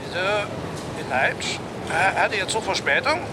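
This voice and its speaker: High-voiced, brusque male police chief. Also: very animated, moderate pace, heavy background noise. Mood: dismissive. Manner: curt, ironic, commanding. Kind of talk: radio drama acting.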